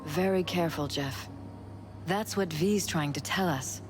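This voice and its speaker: Woman as a poised game character, cautious wife, lowish voice, measured, polite, quietly controlling, music behind. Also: natural voice. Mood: cautious, insistent. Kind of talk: cinematic video game acting.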